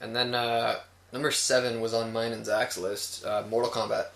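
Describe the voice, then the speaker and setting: A man's voice: medium pitch, flat delivery, normal speed, vocal fry, a bit bright. A man hosting a casual top ten movie discussion, leading it in loose, profane language. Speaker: host of a movie review show. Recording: roomy echo, noticeable background noise.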